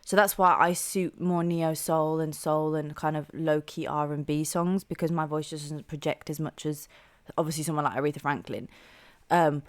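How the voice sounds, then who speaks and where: A young woman with a soft, slightly low voice reacts to music in a casual, chatty way. She talks enthusiastically and fills her thoughts with "like" and "um". She sounds like a relaxed host talking straight to her viewers. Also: normal speed. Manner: chatty and enthusiastic, heavy use of "like" and "um".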